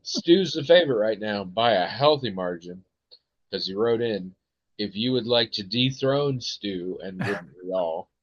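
Casting note: American male podcast host, lowish voice, meandering and full of ums, dull dry lo-fi sound. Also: a bit bright, no echo. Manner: rambling, hesitant, many ums and restarts. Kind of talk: casual chat.

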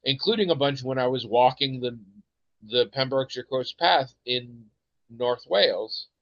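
A man's voice: medium pitch, a little slow, no echo, highs cut off. A man with an American accent hosting a podcast, chatting in a rambling, hesitant way with many fillers and restarts. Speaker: podcast host. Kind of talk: casual chat.

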